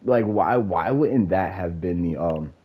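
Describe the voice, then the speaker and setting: A man's voice: sits low, measured pace, breathy edge, highs cut off. A man with a nasal, congested voice ranting casually about a game, profane and rambling with lots of fillers. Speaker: gamer giving opinions. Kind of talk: casual rant.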